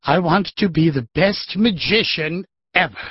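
A man playing a gentle, eager, bumbling novice magician, earnest and friendly, in a children's audiobook. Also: on the high side, a little slow, slightly rough, dry recording. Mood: enthusiastic. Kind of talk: children's full-cast audiobook acting. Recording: dry, slightly dull audio.

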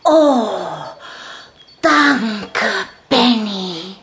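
A performer voicing an excitable young wild boar in a children's storybook, with exaggerated, drawn-out delivery. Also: medium pitch, heavy background noise. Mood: relieved. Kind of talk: children's storybook character acting.

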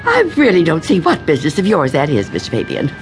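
An actress playing a frightened, suspicious shut-in old woman in a radio drama, fretful and halting, repeating herself. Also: deep voice, wildly swooping pitch, over music. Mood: indignant.

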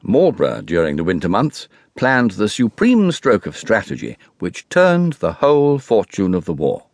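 A man with an English accent giving a formal, measured, oratorical reading of literary history as a narrator. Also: on the low side, wildly swooping pitch, a little mellow, no echo, clean studio sound.

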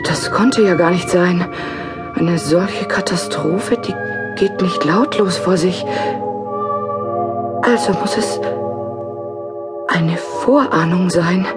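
Alarmed woman, slow and dramatic. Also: fairly low pitch, over loud music. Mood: anxious, bewildered. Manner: dramatic, halting. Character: alarmed, stubborn secretary troubled by nightmarish visions. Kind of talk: German radio play acting.

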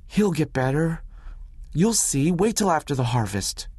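A man reading aloud and voicing a determined, stubborn ten-year-old farm boy who pleads and shouts. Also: fairly high pitch, very animated, measured pace, breathy edge, no echo, voice pushed up, heavily acted. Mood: pleading.

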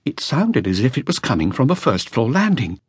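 A man's voice: medium pitch, sing-song, normal speed, husky, no room sound, clean studio sound. A man voicing a nervous, earnest young male flautist telling what he witnessed. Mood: anxious. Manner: anxious, breathless, earnest.

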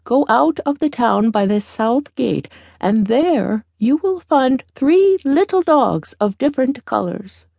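A woman plays a ragged old beggar woman from a fairy tale, wise and deliberate. The voice is raised in pitch and slow, imploring and instructing as it goes, with a dry, close sound. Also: expressive, creaky, mellow, no room sound, full character voice. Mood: commanding, instructive.

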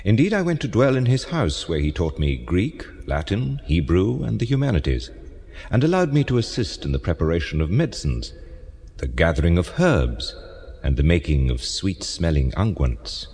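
An actor playing a solemn French Renaissance prophet and physician in an audio drama, declaiming gravely in formal, archaic phrases. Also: male voice, low voice, big pitch swings, moderate pace, a little mellow. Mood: solemn reminiscence. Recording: long cavernous reverb on voice.

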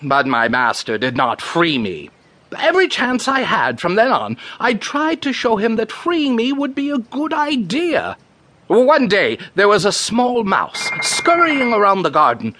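A man telling a tale theatrically, with animated delivery and dramatic pauses. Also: very high voice, slight background noise. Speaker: storyteller. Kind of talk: theatrical first-person storytelling.

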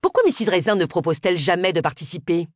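A woman voicing a shrill, sharp-tongued middle-aged village woman with a high, strident voice. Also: animated, fast talker, no echo, studio quality. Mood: needling. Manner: cutting, snappish.

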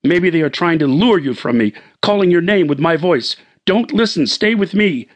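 A man's voice: a little high, expressive, normal speed, voice pushed up. A man doing a frantic husband barking orders. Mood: pleading. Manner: urgent, commanding, breathless. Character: frantic middle-aged man fleeing with his wife.

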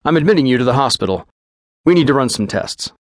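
A man's voice: mid-range voice, expressive, quick pace, dry recording, clean studio sound, natural voice. A man playing a rattled middle-aged doctor, speaking in a fussy, clinical and nervously reassuring way.

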